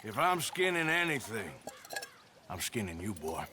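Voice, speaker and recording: A man's voice: on the low side, very animated, normal speed, noisy background. An actor playing a tough, laconic outlaw horseman and debt collector in a video game, speaking in a drawl. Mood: menacing. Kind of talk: video game cutscene acting.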